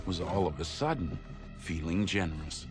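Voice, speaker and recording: Man acting a deep-voiced, grim battle-worn soldier. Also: normal speed, close to an everyday voice. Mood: grim, weary. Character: grim, cynical, battle-worn soldier narrating his zombie ordeal. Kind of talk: noir-like scripted game narration. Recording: loud cinematic music behind the voice.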